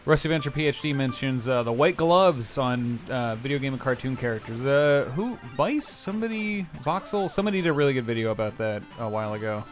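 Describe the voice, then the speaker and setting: Male gaming co-host, rapid casual banter, wisecracking, clear music underneath. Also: medium pitch, lively intonation. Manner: jokey, chimes in with references and asides. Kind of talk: casual gameplay chat. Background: video game music.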